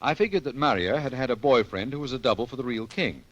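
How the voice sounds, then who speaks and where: A smooth-talking radio detective with a mid-pitched voice. His delivery is highly animated and ironic, full of bantering turns and flustered little hesitations. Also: fast talker, muffled recording. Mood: narrating casually. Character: wry, smooth-talking male amateur sleuth in a fix. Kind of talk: old-time radio mystery acting.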